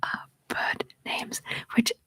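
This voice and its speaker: A woman's voice: slow pace, bright, forceful tone. A young woman, an ASMR creator, whispering as she rambles playfully, giggles and reads out viewer comments.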